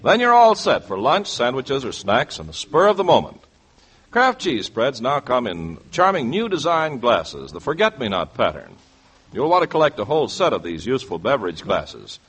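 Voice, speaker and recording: A man with an American accent reads scripted advertising on 1940s radio, with a polished salesman's delivery and deliberate emphasis, in a vintage broadcast recording. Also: mid-range voice, big pitch swings, a bit bright, slightly rough. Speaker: radio announcer.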